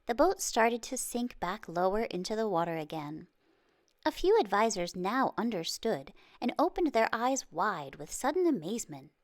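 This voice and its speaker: A woman narrating a children's storybook in an expressive, careful read-aloud delivery. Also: medium pitch, moderate pace, dry recording, studio quality. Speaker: children's book reader.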